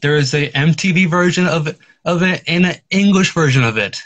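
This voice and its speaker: A man's voice: on the high side, fairly quick, a bit bright. A man calling in to a chat show, talking with a slight stutter in a hesitant, apologetic way that repeats itself, over a call line that breaks up. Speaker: caller.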